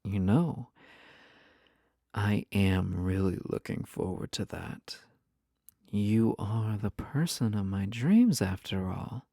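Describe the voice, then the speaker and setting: A man acts out a playful, possessive yandere husband in an intimate roleplay. His voice is mellow and relaxed, with plenty of gravelly fry, and he speaks at an unhurried pace. He teases suggestively, dropping into whispered asides, in a very dry recording. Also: low-pitched, dry recording, exaggerated voice. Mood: flirty, playful. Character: flirty, playful, obsessive yandere spouse. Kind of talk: intimate audio roleplay addressed to listener.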